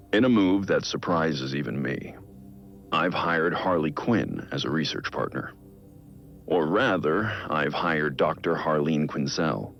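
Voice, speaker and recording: A man playing a brooding, weary middle-aged billionaire vigilante, recording a reflective video game audio log in a deliberate, understated, gravelly voice. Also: extremely low pitch, heavily acted. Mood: measured, guarded. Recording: dull lo-fi audio log, music behind. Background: music.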